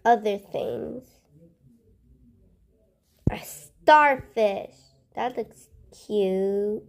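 High-pitched, slow, hesitant female gamer. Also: darkish tone. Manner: hesitant, trailing off into murmurs. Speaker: gaming channel host. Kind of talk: casual gameplay commentary, thinking aloud.

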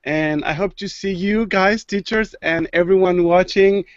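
A man's voice: high-pitched, a little slow, no room sound. A man hosting a live online show, thanking teachers and signing off in an upbeat way. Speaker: show host. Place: indoors, video call. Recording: dull, dry video-call audio.

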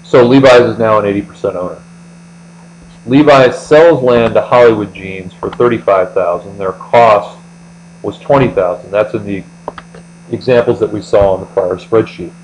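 A man's voice: fairly low pitch, moderate pace, low-quality recording. An American man working methodically through an explanatory lecture as an accounting tutor, step by step. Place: indoors, voice-over on screen recording. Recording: steady mains hum.

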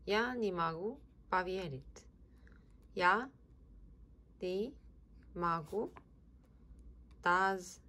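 A woman's voice: fairly low pitch, very slow, long pauses, pushed and bright. A young woman with a Korean accent reading aloud carefully, word by word, as an ASMR practice session at a desk at home. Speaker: ASMR creator.

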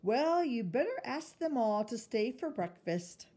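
A gentle, indulgent mother from a picture book, played by a woman reader. Her voice sits a little high, and she talks in a kindly, reassuring, good-humoured way. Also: big pitch swings, measured pace. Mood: gently amused.

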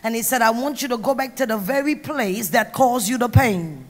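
A middle-aged woman from Trinidad preaching an emphatic sermon on a handheld mic, going from hushed to loud. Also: fairly high pitch, normal speed, faint music behind. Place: large banquet hall on stage. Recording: long hall reverb.

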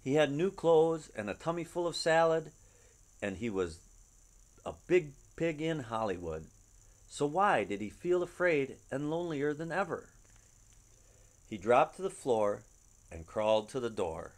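A middle-aged man reading a children's picture book aloud at home in an expressive, dramatic storytelling manner. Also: a little high, measured pace. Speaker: storybook reader. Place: living room at home. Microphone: webcam at about arm's length.

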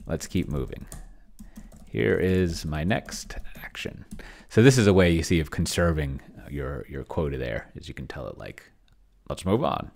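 Middle-aged male streamer, very deep and slow, casually muttering through his thoughts in stops and starts. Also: creaky, darkish tone. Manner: halting, murmuring word by word as he types. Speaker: host of a solo roleplaying experiment stream. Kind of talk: casual thinking aloud while typing and reading from screen. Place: indoor home room. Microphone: desk microphone close to the mouth.

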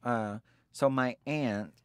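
A man in his twenties from Vietnam with a Vietnamese accent, telling his life story in a candid conversation, earnest and halting, often restarting his sentences. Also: mid-range voice, smooth, no room sound, studio quality. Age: 20s. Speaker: interviewee.

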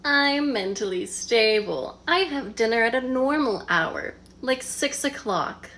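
A woman's voice: on the high side, animated, slow pace, fairly bright tone. A woman performing a playful, self-mocking comedic skit. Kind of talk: comedic short-video skit.

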